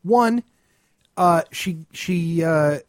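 A man's voice: a little high, drawn-out words, no room sound, clean studio sound. A man co-hosting a podcast in casual comedy chat, ranting with crude, sarcastic scorn. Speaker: podcast co-host.

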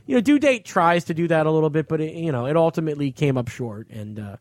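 A man's voice: on the high side, lively intonation, fast talker, a little mellow, no room sound. An American man hosting a podcast, chatting casually and profanely about movies in a studio. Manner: profane, conversational. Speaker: podcast host. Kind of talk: casual movie review chat.

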